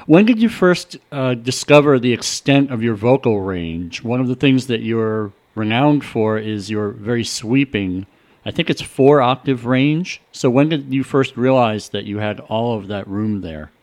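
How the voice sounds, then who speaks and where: A man's voice: medium pitch, animated, a little slow, a little mellow. A male radio host interviewing a guest, asking long, rambling questions full of restarts.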